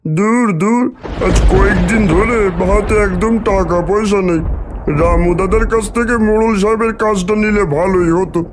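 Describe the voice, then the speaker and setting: A man's voice: sits high, steady tone, normal speed, lightly acted. A man playing a hard-up villager looking for work in a cartoon, with a grumbling, musing manner. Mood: grumbling, scheming. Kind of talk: cartoon story dialogue acting. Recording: clear noise behind, outdoor ambience.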